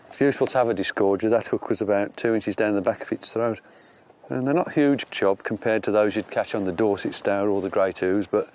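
An older English man co-hosting a fishing show, calmly explaining tackle and technique from a boat on a river. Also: lowish voice, slight background noise. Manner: calm, explanatory. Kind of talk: instructive fishing commentary. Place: small boat on a river outdoors.

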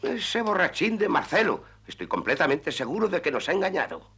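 A man's voice: high-pitched, very animated, fairly quick, pushed and bright, husky, exaggerated voice. An actor in a Spanish cartoon dub playing a worried father who runs a puppet theatre, anxious and urgent.

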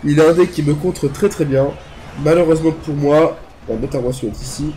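A man's voice: on the high side, fairly quick, mellow, poor audio. A young man, congested from a cold so he sounds nasal, casually commentating his own mobile gameplay as he thinks aloud. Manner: chatty, thinks aloud while playing. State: congested, talking through the nose from a cold. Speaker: gaming youtuber.

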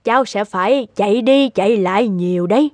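A woman voicing a courteous, kindly and composed lady in a cartoon, with a polite, gentle manner. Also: mid-range voice, a little fast, lightly acted. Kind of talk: cartoon voice acting. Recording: music behind the voice.

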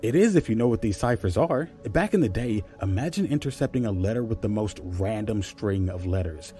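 A man's voice: on the low side, expressive, brisk, dark tone, faint music behind, natural voice. An actor playing a patient, puzzle-savvy man who explains step by step in naturalistic audio drama dialogue. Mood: explaining.

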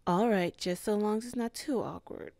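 A woman voicing a calm, composed female boss in an ASMR office roleplay, speaking in a measured, softly flirtatious way. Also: medium pitch, no echo, lightly acted. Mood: teasing.

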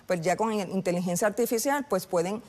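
A woman's voice: mid-range voice, a little fast, darkish tone. Woman, 40s, TV interview guest, lapel mic, studio reverb. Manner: explanatory, many fillers and restarts. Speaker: federal agent guest. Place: TV news studio.

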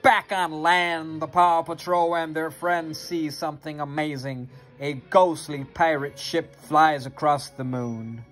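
An expressive reader with a sing-song delivery, reading a children's picture book aloud into a phone at home. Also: medium pitch, slow pace, few pauses, soft background music. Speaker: storybook reader. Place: carpeted playroom at home. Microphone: handheld phone near the reader.

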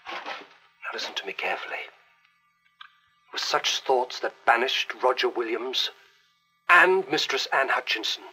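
A man's voice: mid-range voice, animated, a little slow, long pauses, sharp tone. An actor playing a stern, domineering Puritan father in a period film, commanding and archaic in speech. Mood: stern warning. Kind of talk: period drama film acting. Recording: old educational film soundtrack with music.

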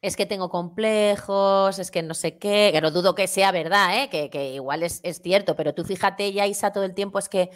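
A woman's voice: mid-range voice, moderate pace, hardly any pauses. A woman in her forties chatting casually and emphatically about a show, repeating phrases and adding tag questions, on a headset from home. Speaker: online show panelist. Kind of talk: casual reality-show chat. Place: home room, on a video call.

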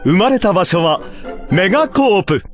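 High-pitched, chipper infomercial pitchman. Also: very animated, moderate pace, faint music behind. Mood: chipper selling. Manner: polished, reassuring sales delivery. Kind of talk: parody commercial in a video game.

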